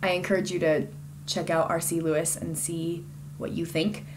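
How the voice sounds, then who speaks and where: A woman's voice: on the low side, flat delivery, moderate pace, noisy background. A woman giving a casual, conversational book review in an informal and enthusiastic way.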